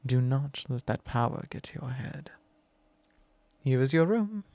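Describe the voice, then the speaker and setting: A man's voice: expressive, dark tone, dry recording. A man playing a whispering possessive vampire lord. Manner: slow, low and intimate, with frequent whispers. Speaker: ASMR roleplay performer. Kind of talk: ASMR roleplay.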